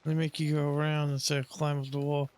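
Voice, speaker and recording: Higher-voiced man casually commenting on a game. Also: little pitch movement, fast, a little mellow, smooth. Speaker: gamer commenting on playthrough.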